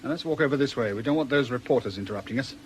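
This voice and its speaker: An actor playing a hard-nosed, impatient police inspector in a radio drama, blunt, stern and sardonic. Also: male voice, medium pitch, very fast, natural voice. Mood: stern, angry. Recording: faintly noisy old radio recording.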